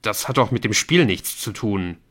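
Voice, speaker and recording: A man's voice: lowish voice, lively intonation, moderate pace, fairly bright tone. A man voicing a young male gamer, hasty and uneasy, with an earnest, questioning manner in audiobook dialogue. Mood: puzzled.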